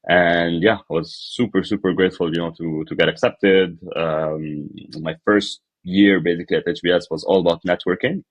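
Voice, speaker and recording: A man's voice: low-pitched, fairly even intonation, normal speed, hardly any pauses, no room sound. A young man from Lebanon, a podcast guest telling his life story in a casual, hesitant way with many fillers and restarts.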